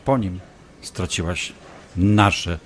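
An actor playing a smug, obsessive doctor in an audio drama, taunting and insinuating as a manipulative ex-lover. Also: male voice, low voice, drawn-out words, fairly bright tone, heavily acted. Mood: insinuating, pressing. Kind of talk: audio drama acting, dialogue.

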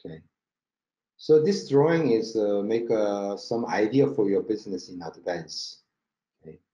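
Mellow Korean-accented man in a roomy space. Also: on the low side. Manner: halting non-native English with frequent check-in words. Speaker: company marketing director presenting a webinar. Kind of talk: product presentation over slides. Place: indoor room, online webinar with screen share. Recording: roomy, dull webinar audio.

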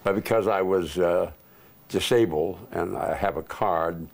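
An older man telling war memories in a casual interview, unhurried and rambling with many fillers, recorded on a lapel mic in a living room. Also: sits low, creaky. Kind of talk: casual oral history interview. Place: living room, seated on couch.

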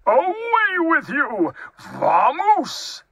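A storybook reader voicing a gruff, grumpy bear who is easily distraught, in a dramatic, blustering manner. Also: sits high, wildly swooping pitch, drawn-out words, bright, forceful tone, dry recording, clean studio sound, pitched above the performer's own voice, heavily acted. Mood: angry, exasperated.

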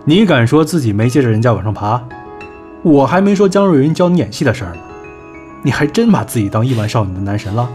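A male actor plays a scornful, sharp-tongued manager in a radio play. His voice sits at an ordinary male pitch, with a blunt, sarcastic bite. Faint music plays behind him. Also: big pitch swings, fast, close to an everyday voice. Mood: mocking. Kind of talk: Chinese audio drama acting.

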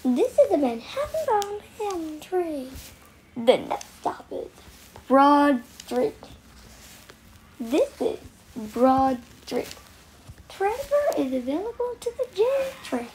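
A woman serves as a train announcer, with a very high voice that rises and falls in a lively way. She reads scripted station announcements in set, clipped phrases, slowly and over audible noise. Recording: noisy recorded train announcements.

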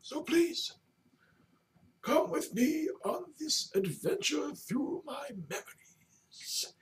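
A man voicing a whimsical, very old male storyteller in a halting, grandiose, dramatic manner for a comedy video. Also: very high-pitched, big pitch swings, exaggerated voice. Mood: welcoming, theatrical. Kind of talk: comedic in-character storytelling to camera. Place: small home room, indoors. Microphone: webcam at desk distance. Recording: distant webcam microphone.

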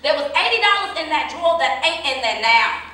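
A roomy, distant stage recording carries a woman acting as a hardworking young mother at the end of her patience. She is emphatic and indignant, her forceful voice swinging up and down. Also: sits high, lively intonation, brisk. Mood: hurt, pleading. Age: young adult. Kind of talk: live staged play reading. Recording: echoey, distant stage recording with faint noise.

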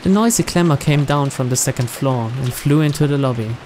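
A man's voice: mid-range voice, fairly quick, darkish tone. A man reading visual novel narration aloud as a let's-play narrator. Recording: noticeable rain noise behind. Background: rain and game ambience.

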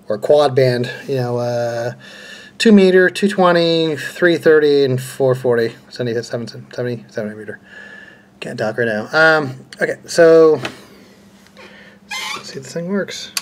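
A man's voice: medium pitch, unhurried, long pauses. A man chatting informally as he hosts a hands-on demonstration, thinking aloud as he goes. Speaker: host of electronics and radio unboxing videos. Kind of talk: casual chat, hands-on demonstration. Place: indoors at a cluttered desk. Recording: noisy home camera recording. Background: clear background noise.

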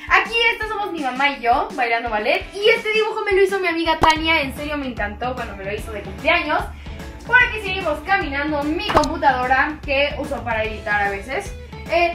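A woman's voice: sits high, far from the mic. A young woman giving a chatty, laughing house tour vlog to her handheld camera, walking through a large house. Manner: bubbly, chatty, often laughing. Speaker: vlogger. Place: large house indoors, rooms with hard surfaces. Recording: echoey rooms with music underneath.

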